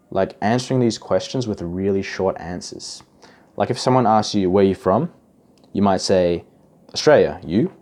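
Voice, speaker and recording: A man's voice: low-pitched, darkish tone. A young man from Indonesia giving casual advice to camera, chatty and full of "like" and "right?". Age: young adult. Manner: chatty, many fillers like "like" and "right?". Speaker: self-improvement YouTuber.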